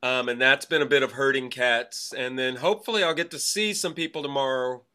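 A man's voice: medium pitch, brisk, sharp tone, clean tone. A man co-hosting a podcast, chatting in an upbeat way and laughing easily. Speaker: podcast co-host. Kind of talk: casual chat.

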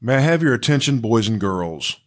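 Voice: medium pitch, big pitch swings, normal speed, lightly acted. A male performer playing a school principal addressing pupils in an amateur radio play. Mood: official.

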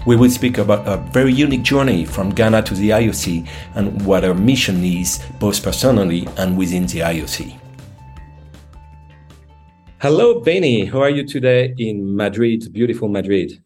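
A man with a fairly low voice hosts a sports business podcast. He opens with a formal introduction and then asks polite questions. Faint music plays behind him. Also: animated, normal speed, darkish tone. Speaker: podcast host. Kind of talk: scripted intro and interview questions.

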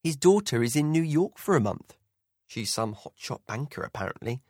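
A man voicing a chatty middle-aged mother who keeps asking her son probing questions in a raised, bright voice. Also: mid-range voice, big pitch swings, moderate pace, dry recording, studio quality, pitched above the performer's own voice.

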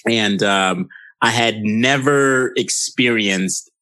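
A man's voice: fairly low pitch, slow, sharp tone, no echo, poor audio. A man from Haiti whose first languages are French and Haitian Creole, telling stories chattily as a podcast guest, with many fillers. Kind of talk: casual interview storytelling.

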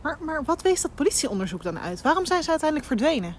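An actress playing a curious, persistent young woman reporter in a naturalistic audio drama, asking questions in a halting way. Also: high voice, sing-song, a little hiss or hum. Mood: probing.

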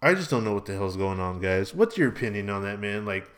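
Low-voiced man chatting casually, early thirties. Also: big pitch swings, a little fast, fairly bright tone. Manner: informal, slangy, exclamatory, says dude and man often. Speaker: podcast host and vlogger. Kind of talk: casual rambling solo podcast chat.

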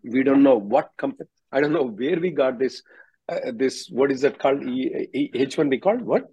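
Husky man on a muffled conference call. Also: mid-range voice, lively intonation, normal speed, mellow, no room sound. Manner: direct, instructive. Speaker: immigration attorney hosting a call-in. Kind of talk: question-and-answer legal advice call. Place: conference call, close dry sound.

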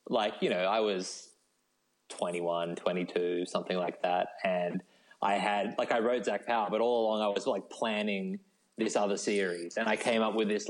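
Low-voiced Australian man chatting informally. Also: rough recording. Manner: informal, discursive, frequent 'like' and 'you know'. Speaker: novelist, interview guest. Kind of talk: casual conversational interview.

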